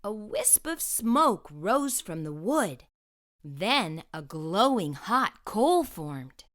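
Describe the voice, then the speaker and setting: A woman reading a children's picture book aloud as an expressive narrator. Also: medium pitch, very slow. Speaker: children's story reader.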